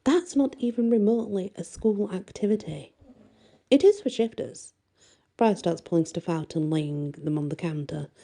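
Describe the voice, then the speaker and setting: A woman reading a first-person bedtime story expressively, with a soft voice. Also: on the low side, lively intonation, normal speed, gravelly. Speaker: audiobook reader and storyteller.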